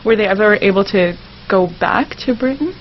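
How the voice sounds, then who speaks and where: A woman's voice: medium pitch, animated, normal speed. A young adult woman co-hosting a radio show, asking questions in an informal conversational way. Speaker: radio co-host, interviewer. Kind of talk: casual interview questions. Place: campus radio station. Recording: slightly muffled, faintly noisy radio audio.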